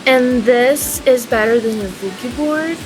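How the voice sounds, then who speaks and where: A woman's voice: a little high, a little slow, music in the background, natural voice, low-quality recording. A woman playing a vivacious, sensitive teenage girl in a playful, upbeat way in a dramatic film reading. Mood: delighted.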